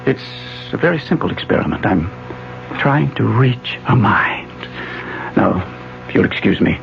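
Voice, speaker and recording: Obsessed elderly scientist, fervent and declamatory, wide-swinging gravelly voice, old radio drama sound. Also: male voice, lowish voice, expressive, measured pace, full character voice. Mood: calm, intent. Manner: formal, declamatory, insistent. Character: obsessed elderly physics professor, intense, fervent, visionary. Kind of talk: 1970s radio drama acting. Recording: dull 1970s radio broadcast, faint music.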